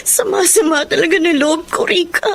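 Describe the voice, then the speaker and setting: A woman's voice: extremely high pitch, normal speed. An actress playing a fed-up young eldest daughter who carries her family, emotional and reproachful, in a Filipino melodrama. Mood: hurt, tearful. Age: young adult. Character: fed-up breadwinner eldest daughter, resentful but dutiful. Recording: music behind the voice.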